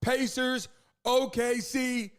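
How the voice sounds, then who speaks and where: A man hosting a live stream, loudly hyping up viewers with shout-outs and repeated calls to join in. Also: very high-pitched, slow pace, clean tone. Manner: loud, excitable, repeats calls to the audience. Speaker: livestream show host. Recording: music playing behind the voice.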